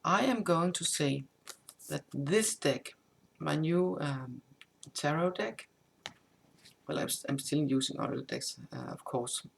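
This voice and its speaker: A woman whose first language is Danish, a tarot reader who gives a casual spoken update, halting and improvising with many restarts. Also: low voice, creaky, slight background noise.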